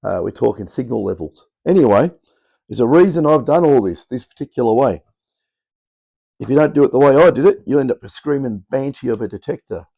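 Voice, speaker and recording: A middle-aged Australian man with a croaky, worn voice from talking all day, rambling casually as he demonstrates a build in his home workshop. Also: medium pitch, expressive, fairly quick, dark tone, highs cut off. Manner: rambling, offhand, chatty explaining. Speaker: electronics hobbyist host. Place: cluttered home workshop, indoors. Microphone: camera mic on tripod.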